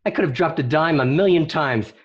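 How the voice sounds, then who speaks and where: A man's voice: a little high, brisk, lightly acted. A man playing a stubborn, indignant wiseguy bookie in a radio drama, griping and combative. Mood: defiant. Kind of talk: amateur radio drama acting. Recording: dull lo-fi home recording.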